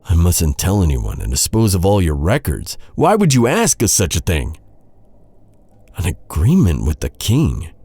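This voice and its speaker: Male actor as anguished knight, dark fantasy roleplay, mellow dark timbre, very dry close sound, formal halting speech, earnest and grave. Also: deep voice, breathy edge, no room sound, exaggerated voice. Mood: alarmed, then dismayed.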